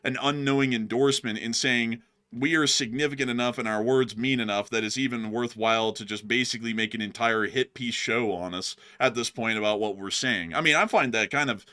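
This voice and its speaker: A young man co-hosting a casual livestream, chatty and animated, with a lot of "like" and "I mean". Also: mid-range voice, brisk. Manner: animated, laughs often, acts out quotes loudly; many fillers like "like" and "I mean". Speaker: co-host of a news channel. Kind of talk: casual livestream chat reading donations.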